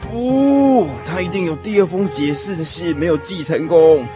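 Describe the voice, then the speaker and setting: An actor playing a lovesick young man, telling his lost first love in an expressive, emotional radio drama style. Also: a little high, normal speed, lightly acted. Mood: anguished disbelief. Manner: expressive, sighing, emotional storytelling. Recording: music playing behind the voice. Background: music.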